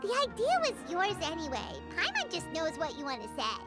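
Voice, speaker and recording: A woman voicing a tiny, childlike fairy companion in a video game, bubbly and emphatic with a squeaky voice. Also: wildly swooping pitch, a little fast, loud music behind the voice, exaggerated voice. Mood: excited scheming. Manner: bubbly, emphatic, speaks of herself in third person. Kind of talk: animated video game character acting.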